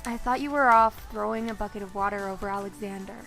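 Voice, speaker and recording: A woman's voice: medium pitch, fairly even intonation, fast, gravelly, fairly bright tone, soft background music, low-quality recording. An actress playing a bookish, sensible teenage girl with a dry, easily exasperated manner in an audio drama. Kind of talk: naturalistic audio drama dialogue.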